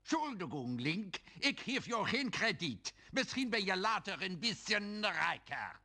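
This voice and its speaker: An actor voicing a cartoon shopkeeper with a German accent in an exaggerated video game dub. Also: male voice, sits high, big pitch swings, a little slow, bright, forceful tone, breathy edge, full character voice. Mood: mock-apologetic. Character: cartoon male shopkeeper, salesman with Bavarian-style character.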